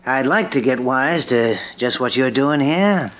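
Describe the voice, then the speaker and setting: A man's voice: medium pitch, expressive, unhurried, lightly acted. A man playing a breezy, slangy handyman. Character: easygoing young male handyman. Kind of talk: 1940s radio acting. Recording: dull old radio recording with music.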